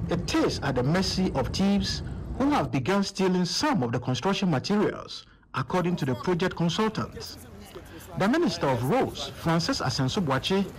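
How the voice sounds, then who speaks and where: A man with a Ghanaian accent narrating a scripted news report as a correspondent. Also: high-pitched. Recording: clear ambient noise behind voice.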